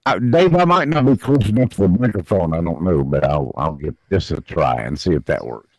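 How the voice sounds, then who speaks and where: A man's voice: low voice, brisk, no echo. A man calling in to a chat show from his computer, joking and using homespun sayings. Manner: jokey, homespun sayings, lively. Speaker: guest caller. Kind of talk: casual chat. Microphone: computer browser call.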